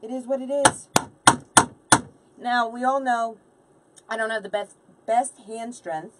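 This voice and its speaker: A woman's voice: a little high, a little slow, far from the mic, a little hiss or hum, poor audio. A woman in her late forties chatting casually and informally as she hosts a craft livestream, bantering with viewers and a helper. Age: late 40s. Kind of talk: casual live chat while crafting.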